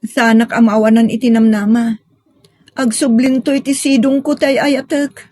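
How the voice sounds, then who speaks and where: A woman's voice: a little high, flat delivery, moderate pace, a little mellow, no echo, close to an everyday voice. A woman playing a grieving young daughter in a sentimental audio drama, speaking plaintively and hesitantly. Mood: grieving, longing. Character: grieving young daughter pining for her lost sweetheart.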